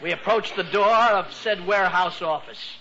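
An American man playing an excitable teenage boy in a 1940s radio comedy, telling his story with breathless mock drama. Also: high-pitched, moderate pace, over a noisy crowd, close to an everyday voice. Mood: earnest. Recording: noisy, dull 1940s radio recording.

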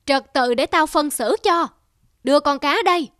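A woman's voice: high-pitched, moderate pace, a bit bright, dry recording, clean studio sound. A woman doing a greedy blustering mandarin. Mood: stern. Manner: blustering, scolding. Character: greedy pompous middle-aged male mandarin.

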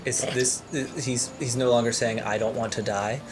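A man's voice: medium pitch, little pitch movement, normal speed, a crowd in the background. A man playing a tabletop roleplaying game, asking questions casually. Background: background music.

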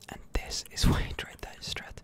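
A man giving hushed, halting game commentary for ASMR in a breathy whisper, close to the microphone. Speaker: gaming ASMR streamer.

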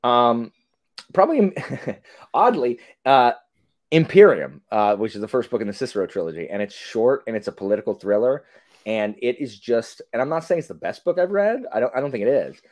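A man's voice: medium pitch, a little fast, no room sound, studio quality. A man in his forties chatting casually on a video call as a podcast guest, rambling and interrupting himself. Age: 40s. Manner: animated, rambling, self-interrupting.

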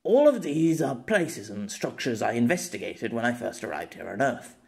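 A man's voice: mid-range voice, sing-song, normal speed, vocal fry, darkish tone. Guy doing an awkward good-natured storyteller man in an audiobook, rambles n hesitates, voice goes up and down. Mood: explanatory. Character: awkward, good-natured foreign-born male storyteller, explaining himself.

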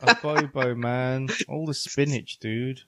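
A man's voice: medium pitch, steady tone, takes it slowly, sharp tone. A man with an English accent chatting casually as a podcast host. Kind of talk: casual banter.

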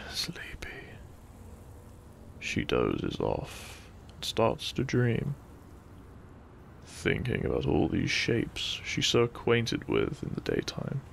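Male sleep-story narrator: soft, soothing, very slow delivery with a supple, varied melody and hushed pauses. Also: lowish voice, creaky, a little hiss or hum. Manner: soothing, hushed, lingering pauses between short phrases. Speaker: narrator of sleep-aid audio. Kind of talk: soft, gentle bedtime story narration, ASMR-like.

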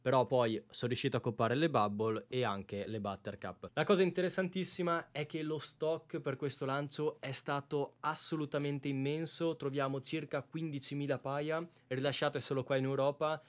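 Male vlog host, mid-pitched voice, informal and chatty, speaking directly to viewers. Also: normal speed, hardly any pauses. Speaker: YouTube host, sneaker reseller. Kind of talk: sneaker unboxing vlog, addressing viewers.

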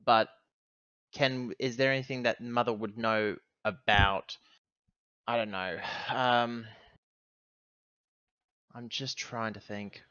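A man playing a tabletop game over a muffled online voice call, speaking haltingly as he thinks aloud. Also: medium pitch, steady tone, sharp tone, clean tone, no echo. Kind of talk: casual game chat.

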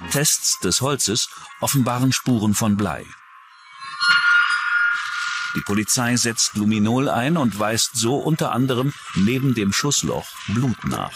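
A man reading scripted narration for a true-crime documentary. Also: mid-range voice. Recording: music under the voice. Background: background music.

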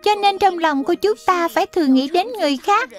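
A woman's voice: very high-pitched, fast talker, soft background music. A woman playing a sweet, sensible young schoolgirl in a dubbed children's cartoon. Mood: earnest, instructive.

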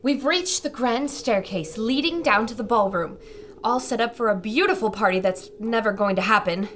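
An actress playing a plucky reporter narrating live danger in an old-time pulp radio adventure. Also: female voice, on the high side, moderate pace, fairly bright tone, lightly acted. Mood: wary. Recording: very noisy sound-effect ambience.

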